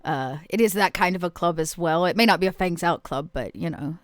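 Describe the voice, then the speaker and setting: Clear-voiced woman chatting, close and dry. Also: fairly low pitch, brisk, no room sound. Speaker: player in a tabletop roleplaying show. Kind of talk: casual chat.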